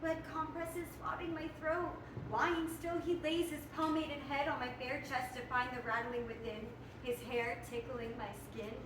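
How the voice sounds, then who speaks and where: Woman as teenage girl, high, protesting, earnest stage acting, roomy, noisy recording. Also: level tone, normal speed, hardly any pauses, natural voice. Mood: distressed, disgusted. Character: indignant, precocious teenage girl Anne. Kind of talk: staged reading of a verse play. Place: theater room, filmed staged reading. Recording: echoey room, far off, clear noise.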